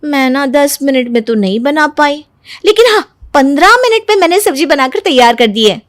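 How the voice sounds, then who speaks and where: A sly, sugary young daughter-in-law from a family cartoon, acted by a woman. Her voice is very high and swoops widely, with a dry studio sound. She pesters eagerly with sing-song mock innocence. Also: sing-song, normal speed, dry recording. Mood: mock innocent. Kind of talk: animated moral story voice acting.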